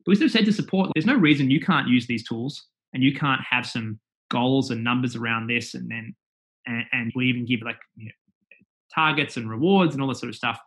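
A man's voice: medium pitch, fairly quick, a bit bright, low-quality recording. A man with an Australian accent, a company executive talking as a guest in a casual interview, chatty and full of fillers and restarts.

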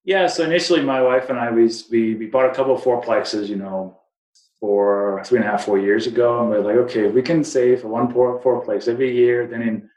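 A man's voice: mid-range voice, fairly even intonation, fairly quick, a little mellow, highs cut off. A man from Denmark with a Danish accent, a guest talking casually in an interview, rambling with many restarts. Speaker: guest investor. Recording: echoey room.

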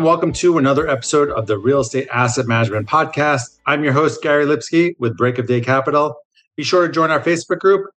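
A man's voice: mid-range voice, fairly bright tone. A man hosting a podcast, introducing the show and interviewing a guest. He often stumbles over repeated words. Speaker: podcast host.

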